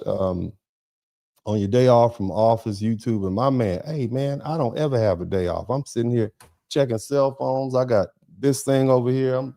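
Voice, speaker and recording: Man, lawyer hosting a livestream, casual rambling chat, talks to the viewers, voice leaps up when worked up. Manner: rambling and chatty, with big excited jumps up in pitch; laughs often, addresses the chat directly.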